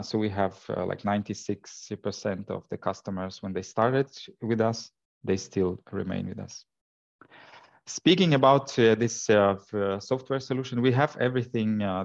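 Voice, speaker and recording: A man's voice: fairly low pitch, gravelly. A man from Ukraine, giving a product presentation in English with many fillers and false starts. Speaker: software sales and technical support presenter. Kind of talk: presentation at an info day. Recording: dull lo-fi recording.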